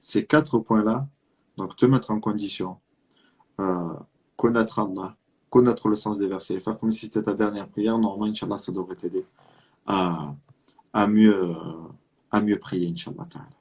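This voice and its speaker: A man with a southern French accent from Marseille preaches earnestly, pressing his points through repetition and questions. His voice sits low and has a husky, breathy edge. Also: steady tone, normal speed, a little mellow. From France (Marseille). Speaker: Islamic preacher. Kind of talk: religious talk addressing the listener directly.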